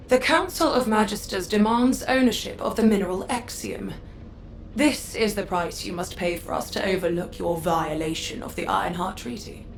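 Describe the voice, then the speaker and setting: An actress playing a haughty, cold faction envoy in a video game, speaking in an imperious, condescending, formal way. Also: female voice, mid-range voice, vocal fry, a little hiss or hum, natural voice. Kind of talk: scripted game dialogue. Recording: faint game ambience behind voice.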